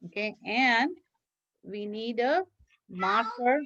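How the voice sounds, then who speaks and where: A woman's voice: on the high side, takes it slowly, noisy background. A woman teacher leading a children's craft class, giving simple step-by-step instructions and repeating them in an encouraging way. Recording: dull lo-fi online class audio.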